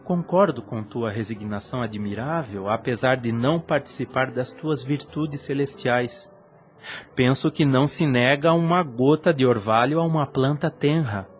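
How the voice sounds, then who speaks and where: An actor playing a lovesick young priest in a solemn audio drama, ardent and tormented, with lofty, formal phrasing. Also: male voice, medium pitch, normal speed, slight background noise, natural voice. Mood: ardent, pleading. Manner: lofty, formal, earnest delivery. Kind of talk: solemn audio drama acting of a religious novel.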